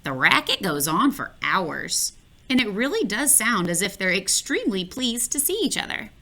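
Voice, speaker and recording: Lively woman reading a children's story. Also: mid-range voice, moderate pace, gravelly, a little hiss or hum. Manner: expressive, playful, addresses the listener directly. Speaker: children's book reader. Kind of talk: read-aloud storytelling for children.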